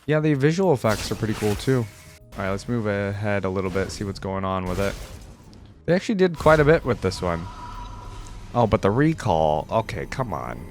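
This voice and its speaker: A man's voice: lowish voice, very animated, normal speed, a little mellow, faint music behind. A man hosting a reaction video, giving informal commentary to viewers and thinking aloud as he goes.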